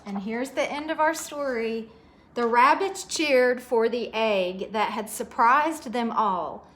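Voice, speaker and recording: A woman's voice: fairly high pitch, slow, fairly bright tone. A woman reading a picture book aloud to young children, expressively, with chatty asides and questions to her listeners.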